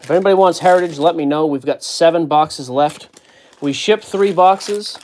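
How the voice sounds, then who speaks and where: A man's voice: on the high side, moderate pace, a little mellow, slight background noise. A man hosting a card break, casually joking while he reads out player names from the packs. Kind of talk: casual card-opening chat. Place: indoor card-breaking table.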